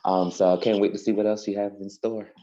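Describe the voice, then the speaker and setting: Very deep, mellow man, slow and hesitant. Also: flat delivery. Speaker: fellow guest. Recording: dull lo-fi call audio.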